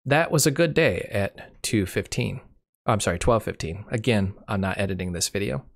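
Man with a cold, low voice. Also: expressive, moderate pace, creaky. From Michigan. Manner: unscripted, self-correcting, dry humor. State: has a cold, losing his voice. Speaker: channel host. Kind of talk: casual screen-share walkthrough.

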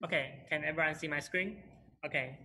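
A man in his twenties with a Taiwanese accent, a native Mandarin speaker, moderating an online presentation in halting, non-native phrasing. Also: fairly high pitch, gravelly, pushed and bright. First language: Mandarin Chinese. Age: 20s. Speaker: panel moderator. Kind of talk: online presentation with self-introduction. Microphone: video call.

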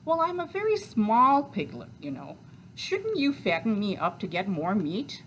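Lady doing a tiny polite piglet, sly and innocent sounding, high and bouncy, storybook read. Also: sing-song, measured pace, a little hiss or hum, rough recording. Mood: coaxing, innocent. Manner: coaxing, mock-innocent pleading. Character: small, sly, polite young male piglet.

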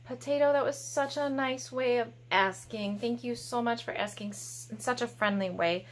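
A woman's voice: on the high side, moderate pace, slight background noise. A woman in her thirties presenting a children's storytime in a playful, exaggerated, encouraging manner, recorded in a small room at home. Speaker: library storytime host.

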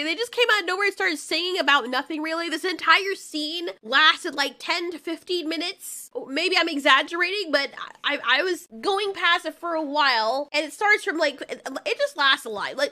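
A woman's voice: extremely high pitch, animated, normal speed, few pauses, bright, forceful tone. Sarcastic young woman reviewing a film. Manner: sarcastic, chatty, exasperated. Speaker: video reviewer. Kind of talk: casual commentary on a film.

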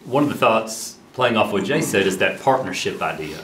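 A man's voice: fairly low pitch, animated, moderate pace, fairly bright tone, echoey room, a little hiss or hum. A pastor from Alabama reflecting conversationally on his ministry, standing in a church atrium. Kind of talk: casual group testimonial.

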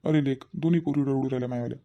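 Woman playing a mellow, fussing village father. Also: extremely low pitch, steady tone, rapid-fire, lo-fi sound. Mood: concerned, fussing. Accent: Varhadi Marathi from Vidarbha. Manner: fussing, coaxing, repeats his phrases. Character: gentle, concerned village father of married daughters. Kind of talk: amateur family drama acting.

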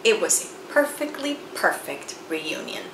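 A woman in her forties reading a children's picture book aloud in a room with bookshelves, telling the story slowly and expressively. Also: lowish voice, roomy sound, noisy background. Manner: expressive storytelling, slow and animated. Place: room with bookshelves and chalkboard, indoors.

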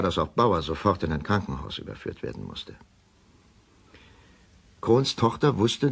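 An actor playing a troubled middle-aged male doctor, narrating a crime radio play in a grave, measured, deliberate way. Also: sits low, vocal fry, husky, heavily acted. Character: troubled male doctor recounting a suspicious death. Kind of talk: first-person radio drama narration.

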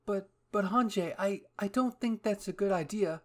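A man's voice: high voice, normal speed. A man delivering an upbeat sponsor promotion as a channel host. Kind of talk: upbeat ad read.

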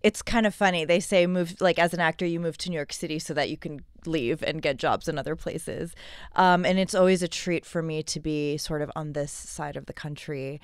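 Low, clear-voiced American woman. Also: steady tone, fairly quick, no echo, clean studio sound. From San Diego, California. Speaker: stage actor interviewed about a play. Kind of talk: conversational interview.

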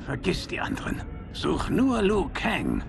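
An actor playing a scheming, menacing sorcerer villain in video game dialogue, commanding and drawn-out. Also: male voice, on the high side, wildly swooping pitch, gravelly, heavily acted. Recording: loud music over the voice.

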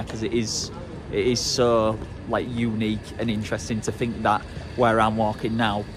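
A man's voice: mid-range voice, noisy background. A young man talking casually to a handheld camera on city streets, chatty, cheeky and playful. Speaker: travel vlogger. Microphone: handheld camera at arm's length.

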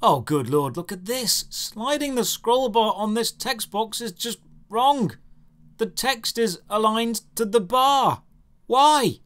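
A man's voice: sits high, expressive, slow pace, a bit bright. A man narrating a scripted video game review in a sarcastic, theatrically exasperated manner.

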